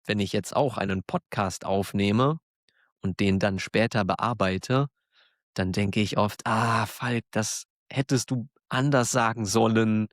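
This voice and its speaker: A man with a German accent, hosting a podcast for learners, talking slowly and clearly in a warm, encouraging way and laughing now and then. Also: medium pitch, no echo, studio quality. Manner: encouraging, self-deprecating, laughs often. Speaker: German teacher, podcast host. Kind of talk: slow, clear monologue for learners.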